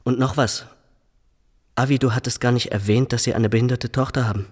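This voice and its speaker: An actor playing a brisk, businesslike police detective in a radio drama. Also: male voice, medium pitch, steady tone, a little mellow, long reverb tail, natural voice.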